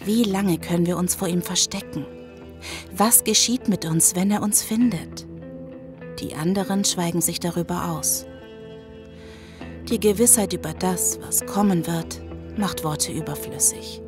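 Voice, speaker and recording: An actress playing a weary, fearful survivor who narrates a horror audio drama in a grave, brooding manner. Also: female voice, lowish voice, a little slow, close to an everyday voice. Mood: grave, anxious. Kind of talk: scripted first-person audio drama narration. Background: music.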